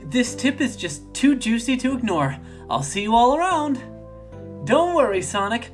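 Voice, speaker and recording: Man acting a cartoon hedgehog girl, pitched way up, bubbly, sassy, confident, swooping delivery. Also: very animated, a little slow, soft background music, full character voice. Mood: sassy, determined. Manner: bubbly, theatrical, self-assured.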